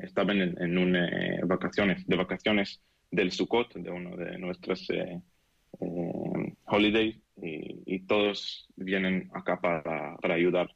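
Deep-voiced young Israeli man, dull audio. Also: creaky, no echo. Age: 20s. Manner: halting non-native speech, searching for words. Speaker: interviewee giving an eyewitness account. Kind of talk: unscripted interview. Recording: dull, band-limited remote call audio.

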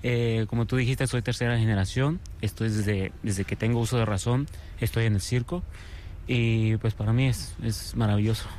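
A man with a Venezuelan accent answering interview questions casually, relaxed and a little hesitant, often repeating words. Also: fairly low pitch, moderate pace, slight background noise. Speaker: circus artist, interview guest.

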